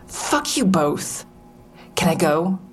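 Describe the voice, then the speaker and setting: Low-voiced, sardonic, defensive woman comedian. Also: very animated, slow pace, soft background music. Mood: defiant. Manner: wry, guarded, confessional. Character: defensive, sardonic woman comedian under police questioning, narrating inwardly. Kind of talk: full-cast audio drama acting with first-person narration.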